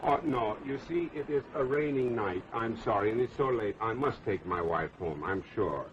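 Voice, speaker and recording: Man playing a suave gentleman sleuth, muffled old film. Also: mid-range voice, expressive, moderate pace, natural voice. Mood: apologetic, evasive. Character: suave, evasive gentleman detective and radio broadcaster. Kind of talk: 1930s film acting. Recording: dull, noisy old film soundtrack.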